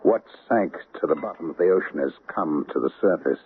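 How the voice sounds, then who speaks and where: An actor playing a guilt-ridden middle-aged banker in a radio drama, flustered, polite and halting. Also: male voice, extremely low pitch, phone-quality sound, slight background noise. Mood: anguished. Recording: band-limited old radio recording.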